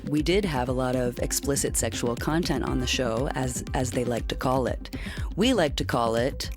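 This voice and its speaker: A woman audio producer talking conversationally in an interview, telling her experiences in an animated way. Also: rumbling low, moderate pace, over music.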